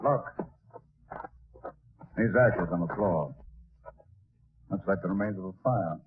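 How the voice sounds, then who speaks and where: An actor playing a decisive spaceship commander in a 1940s radio drama, with a brisk, authoritative manner. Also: male voice, low-pitched, breathy edge, exaggerated voice. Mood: watchful. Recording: tinny band-limited old radio recording.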